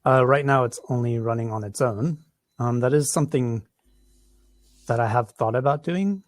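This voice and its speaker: A man's voice: medium pitch, normal speed, no echo. A man with an American accent answering audience questions after a conference talk, with lots of ums and restarts. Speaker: conference presenter.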